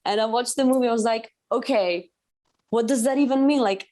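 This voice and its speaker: A woman's voice: a little high, brisk, dark tone. A woman from Greece, a guest on a podcast, telling stories in a cheerful way and laughing often. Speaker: guest musician. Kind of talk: casual interview chat.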